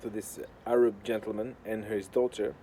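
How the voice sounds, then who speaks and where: A man with a Portuguese accent and a fairly low, very mellow voice talks casually to his viewers. He is sardonic and deadpan, swearing freely as he rambles. Faint urban works noise sits behind his voice. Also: moderate pace. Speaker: video host. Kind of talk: casual rambling talk to camera. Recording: clear outdoor urban construction noise. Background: noise of building works.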